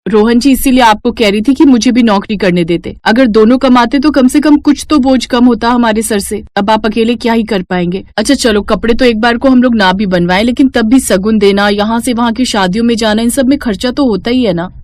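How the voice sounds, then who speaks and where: A woman's voice: on the high side, a little fast, hardly any pauses, darkish tone, no room sound, close to an everyday voice. Woman voicing a worried young wife. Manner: pleading, polite. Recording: dry close recording with faint music.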